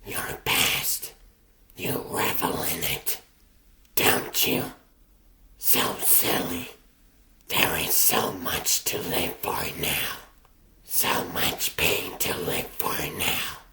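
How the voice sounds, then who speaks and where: A man voicing a sinister, languid immortal seductress who savors pain, in a breathy, theatrical whisper for a comic dub. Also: low-pitched, roomy sound, heavily acted. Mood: languid, savoring. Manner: slow, theatrical musing. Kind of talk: comic dub character acting.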